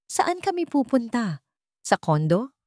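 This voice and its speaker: A woman's voice: a little high, big pitch swings, a little slow, darkish tone, dry recording, studio quality, voice pushed up. Woman voicing a curt, bitter young rich man. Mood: alarmed, protesting. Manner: curt, dismissive.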